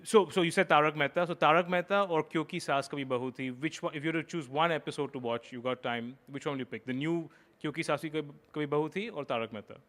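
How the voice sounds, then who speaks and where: A male interviewer from India fires off quick questions at a fast clip, in a slightly high voice. He often repeats words as he starts a sentence, then pushes ahead. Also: a bit bright. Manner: brisk, quizzing, repeats words when starting. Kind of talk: rapid-fire interview questions.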